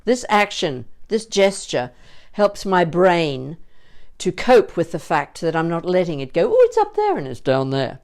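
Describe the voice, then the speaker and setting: A woman voice coach leading a warm-up lesson in an informal, encouraging and playful way, explaining each exercise as she goes. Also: fairly low pitch, big pitch swings, unhurried. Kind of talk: instructional vocal warm-up lesson with demonstrations.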